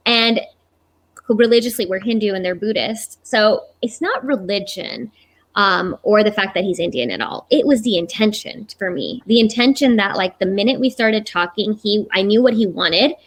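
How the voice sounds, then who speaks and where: Chatty, animated female host in her thirties; casual live-chat delivery, mid pitch. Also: moderate pace. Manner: chatty, animated, laughs often.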